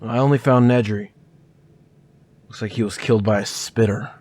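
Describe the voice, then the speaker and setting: A man's voice: on the low side, fairly even intonation, moderate pace, darkish tone, a little hiss or hum, lightly acted. A man playing a grim, terse game warden in an audio drama.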